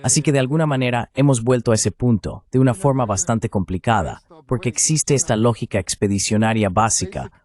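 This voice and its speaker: A man's voice: medium pitch, brisk, hardly any pauses, a little mellow, lightly acted. A man giving a translated voice-over of an interview guest's political analysis.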